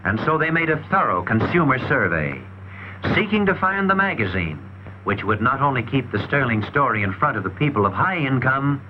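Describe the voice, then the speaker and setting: Male narrator for a 1940s sales training film: emphatic, lively intonation, dull lo-fi period sound. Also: medium pitch, a little fast, soft background music. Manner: emphatic, rhetorical, salesmanlike delivery.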